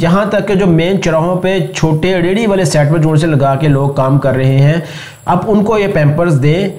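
A man with a Pakistani accent narrates an explainer as its host. His voice is high for a man. He speaks straight to the viewer in an instructive, scripted voice-over style. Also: a little mellow. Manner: addresses viewers directly, instructive. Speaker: video host.

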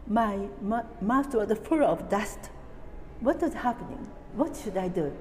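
Elderly Japanese-native woman reading slowly. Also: medium pitch, lively intonation, darkish tone, in a large hall, a little hiss or hum. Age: 80s. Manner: slow, careful, deliberate reading from notes. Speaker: atomic bombing survivor. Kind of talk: reading a prepared testimony. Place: indoor room, seated at a table.

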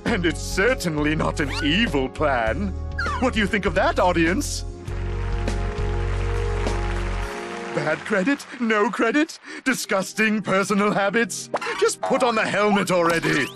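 A man voicing a pompous, scornful mad-scientist villain, giving a grandiose showman's infomercial pitch in a cartoon game. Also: expressive. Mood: grandiose salesman. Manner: grandiose, drawn-out showman delivery. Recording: music behind the voice.

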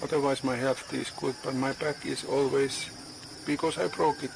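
A man in his forties vlogging casually outdoors on a farm, speaking in halting English and searching for words, recorded on a handheld phone. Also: medium pitch, flat delivery, gravelly, background noise. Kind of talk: casual vlog narration. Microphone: phone camera at arm's length. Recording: outdoor ambience with insects and animals.

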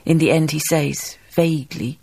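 An actress in a radio drama playing a reflective, melancholy Danish baroness narrating her life in a slow, musing, literary manner, husky and breathy. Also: sits low, fairly even intonation, a little mellow, heavily acted.

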